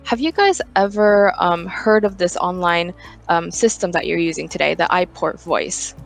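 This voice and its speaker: An American interviewer casually hosting an online roundtable, speaking with many hesitant ums. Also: male voice, sits high, moderate pace, creaky, fairly bright tone. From United States. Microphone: video call.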